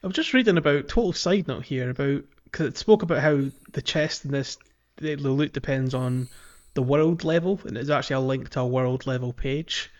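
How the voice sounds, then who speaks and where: A man's voice: medium pitch, a little fast, hardly any pauses. Casual man podcasting, slightly muffled sound. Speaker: podcast co-host. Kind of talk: casual video game discussion. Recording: dull lo-fi with background music.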